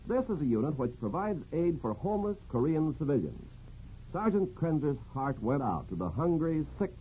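A man's voice: medium pitch, mellow. A man narrating a script as a 1950s radio announcer, earnest and solemn. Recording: dull old radio with hiss.

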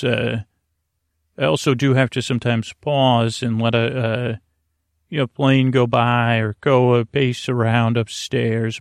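A man with a creaky voice hosting a sleep podcast, rambling gently and hesitantly through meandering tangents, recorded very close to the mic in a small padded closet. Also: mid-range voice, no echo. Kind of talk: rambling bedtime monologue for sleep. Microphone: close microphone, monitored on headphones.

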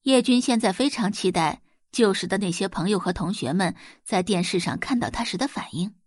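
A woman narrating a web novel as a scripted audiobook, telling the story in an expressive, dramatised way. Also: medium pitch, fairly quick, husky, no room sound, clean studio sound.

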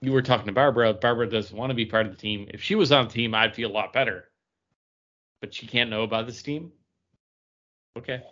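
Man, medium pitch, casual conversational co-host, slightly dull lo-fi sound. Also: fast talker, long pauses, fairly bright tone. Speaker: comic book podcast co-host. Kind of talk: casual book discussion.

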